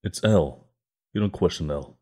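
Guy acting spy handler dude in an audio rp, really low voice, dry and businesslike. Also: fairly quick, mellow, breathy edge. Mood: dry, wry. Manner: clipped, matter-of-fact, dry. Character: loyal, businesslike male spy handler, dryly wry.

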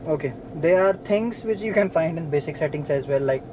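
A man's voice: on the high side, expressive, brisk, background noise. A man explaining a car repair step by step while he works inside a parked car. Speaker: DIY car mechanic. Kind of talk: hands-on repair tutorial.

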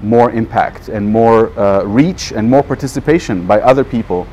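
A man in his thirties, a native Hebrew speaker, giving an informal talk to camera outdoors in a measured, thoughtful way. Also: fairly low pitch, mellow, a little hiss or hum. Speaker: non-profit program manager.

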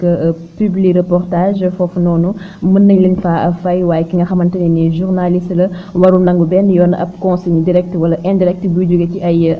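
Woman, Senegalese accent, news narration, monotone, halting, muffled lo-fi recording with noise. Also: fairly low pitch. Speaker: news presenter. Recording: dull lo-fi sound with clear noise.

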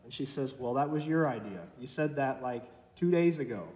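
A man's voice: medium pitch, animated, moderate pace, mellow. A man in his twenties preaching a sermon from a church pulpit, with a conversational, self-deprecating manner. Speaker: pastor. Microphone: far camera. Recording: echoey church with long reverb.

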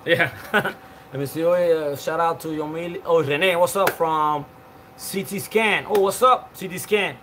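Chatty man, lively higher voice. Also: measured pace, background noise. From Cuban. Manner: chatty, rambling, many fillers and restarts, greets viewers by name. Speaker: live stream host. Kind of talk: casual live-stream chat reading comments. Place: outdoor patio with palm trees.

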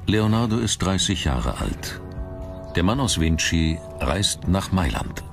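A man reading scripted documentary narration in a measured, dramatic manner. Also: low-pitched, very animated. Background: background music.

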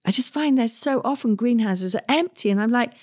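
A woman's voice: on the high side, a little fast, a little mellow. A middle-aged woman hosting a gardening podcast, talking in a chatty, practical way with asides and restarts. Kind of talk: casual solo podcast talk.

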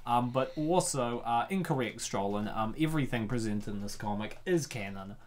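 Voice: mid-range voice, expressive, normal speed, a little hiss or hum. A host giving casual, jokey reaction commentary on a comic, with a playful, exclamatory manner.

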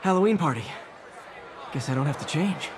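Man playing a high-voiced young wisecracking superhero. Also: lively intonation, fairly quick, crowd noise, background noise, natural voice. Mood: wry. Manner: wry, quippy. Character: wisecracking young male masked superhero, earnest and quick. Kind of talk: video game voice acting.

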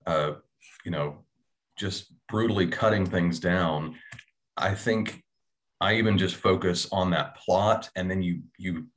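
A man's voice: deep voice, takes it slowly. A middle-aged man speaking thoughtfully as a panelist in an unscripted discussion on a video call, acting out imagined asides. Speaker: panelist, audio drama writer. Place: small room at home, indoors. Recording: noisy video-call audio.

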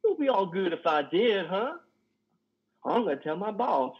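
A man acts out a lonely, wounded trucker in a stage drama, higher-voiced and husky. He talks in halting, folksy, simple sentences, weighed down by guilt, over a muffled video call. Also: big pitch swings, exaggerated voice. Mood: childlike delight. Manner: halting, stammering, folksy ungrammatical speech. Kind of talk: dramatic play reading. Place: indoor room on a video call. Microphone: headset.

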